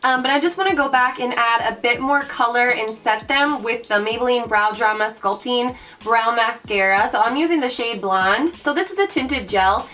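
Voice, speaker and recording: A young woman with a raspy voice from recent illness, chatting bubbly through a makeup tutorial and calling her viewers guys. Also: a little high, moderate pace. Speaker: beauty vlogger. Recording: mic at a distance, soft background music.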